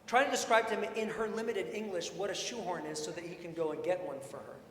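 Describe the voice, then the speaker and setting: A man preaching a sermon in an informal, expressive way, with storytelling and questions repeated for emphasis. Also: high voice, fast talker. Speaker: preacher, priest. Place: large indoor church sanctuary. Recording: echoey church hall, long reverb, faint noise.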